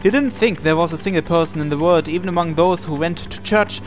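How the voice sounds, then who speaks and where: Higher-pitched man reading game narration aloud. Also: fast talker, soft background music. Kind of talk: narration read aloud from a visual novel.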